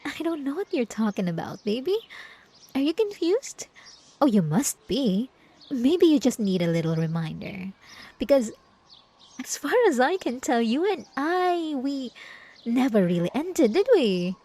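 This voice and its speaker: A woman acts out a clingy, gaslighting yandere ex-girlfriend in an intimate audio roleplay. Her voice sits fairly high and swings widely in pitch, lingering slowly over words. She is coy and falsely innocent, sweet on the surface and menacing underneath. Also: very animated, mellow, breathy edge, exaggerated voice. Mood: mock-innocent. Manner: coy, teasing, mock-innocent, drawn-out pet names. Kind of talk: ASMR roleplay acting addressed to the listener.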